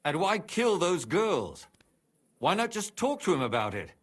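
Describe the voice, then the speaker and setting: A man's voice: high voice, very animated, unhurried, husky, heavily acted. An actor playing a principled, troubled man in a video game drama, reasoning earnestly and urgently for the law.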